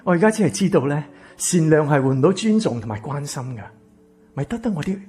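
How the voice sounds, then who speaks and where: An actor playing a wounded, embittered rich man in a Cantonese radio drama, with a Hong Kong accent and a breathy, husky voice. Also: fairly high pitch, expressive, fast, a little mellow, natural voice. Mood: bitter, anguished. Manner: intense, dramatic. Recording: music behind the voice.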